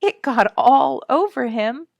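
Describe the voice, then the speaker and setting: A woman voicing an excited, giggly little girl in a storybook, exclaiming gleefully with drawn-out, emphatic words. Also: fairly high pitch, gravelly, no echo, voice pushed up, heavily acted. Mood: pitying.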